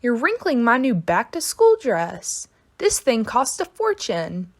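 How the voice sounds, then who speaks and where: Vain, bossy first-grade girl voiced by a woman reader, prim and affected, unhurried, lively intonation, storybook. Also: on the high side, no room sound, pitched above the performer's own voice, heavily acted. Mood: annoyed. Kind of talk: children's storybook read-aloud.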